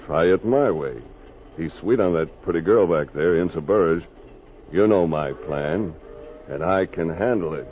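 An actor playing a scheming, threatening villain in 1940s radio drama, with an insistent, menacing manner. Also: male voice, low voice, measured pace. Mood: conspiratorial. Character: scheming, threatening male villain, cowardly when caught. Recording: tinny, noisy 1940s radio recording.

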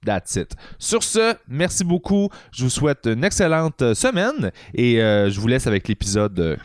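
A man with a Quebec French accent hosts a casual podcast, teasing his friends in a laid-back, slangy way. His voice sits at an ordinary pitch and is recorded close and dry, with no room echo. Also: sing-song, normal speed. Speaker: podcast host. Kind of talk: casual chat.

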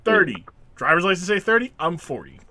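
A man co-hosting a casual podcast chat, animated, acting out quotes and laughing often. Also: high voice, fairly quick, no room sound. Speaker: podcast co-host.

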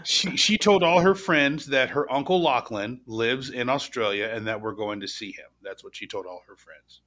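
A man from the US west coast in his thirties, co-hosting a podcast in relaxed casual chat. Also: medium pitch, moderate pace. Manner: relaxed, chatty, repeats words while starting sentences. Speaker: podcast co-host.

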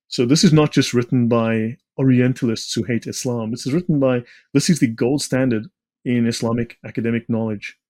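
A man's voice: mid-range voice, steady tone, brisk, darkish tone. Assertive, didactic man explaining a text. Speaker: interview guest, religion researcher. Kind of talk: explanatory commentary.

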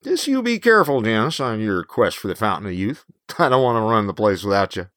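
A man's voice: lowish voice, sing-song, a little fast, a bit bright, no echo, clean studio sound. An actor playing a protective, wry male corporate counselor with a cautioning manner in a campy audio drama. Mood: concerned, wry.

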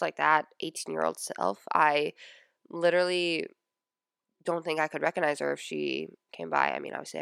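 A young woman in her twenties chats on her podcast with a congested, stuffy nose. Her lowish voice has plenty of vocal fry, and she fills her talk with "like" and "um". The sound is dry and close, with no room echo. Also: a bit bright. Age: 20s. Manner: chatty, lots of "like" and "um". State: stuffy nose from a sinus infection. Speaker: podcast host. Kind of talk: casual personal podcast chat.

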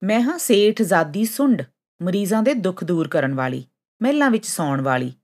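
A woman's voice: fairly low pitch, very animated, moderate pace, a little mellow. A woman voicing a haughty, hot-tempered young female ginger-root character in a folk tale, scolding and boasting indignantly. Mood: haughty anger.

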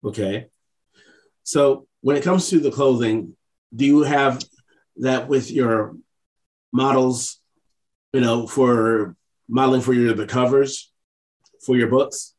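A man co-hosting a casual interview, phrasing his questions in a deliberate, halting way. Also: medium pitch, fairly even intonation, darkish tone, low-quality recording. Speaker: interviewer, co-host.

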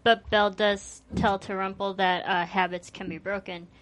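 A woman on a casual fan panel works through her ideas out loud. She repeats words as she thinks. Her voice sits at an average pitch. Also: level tone, normal speed. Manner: hesitant, repeats words while thinking aloud.

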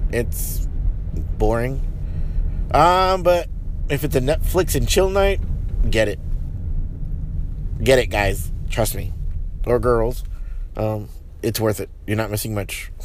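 A man hosting his podcast in a laid-back, rambling way, a little sniffly and hoarse from a cold, talking while driving. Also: medium pitch. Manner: rambling, laid-back, with sighs and filler words. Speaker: podcast host. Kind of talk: casual solo monologue. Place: in a car, driving at night. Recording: car noise in the background.

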